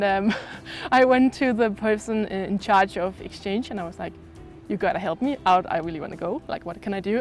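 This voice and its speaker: A young woman from Denmark, talking casually and expressively as an exchange student in an interview. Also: mid-range voice. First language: Danish. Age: young adult.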